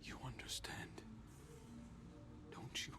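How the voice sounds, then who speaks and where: A man playing a principled young rebel with a guilty conscience in a video game, earnest and quietly defiant. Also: slow pace, whispered, music in the background, natural voice. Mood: quiet pleading. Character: remorseful but principled young male rebel. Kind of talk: dramatic video game acting.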